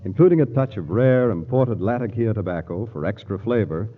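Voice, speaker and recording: A man reading a radio advertisement in a smooth, persuasive manner. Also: fairly low pitch, lively intonation, brisk. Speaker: commercial announcer. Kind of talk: radio ad read. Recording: tinny old radio broadcast.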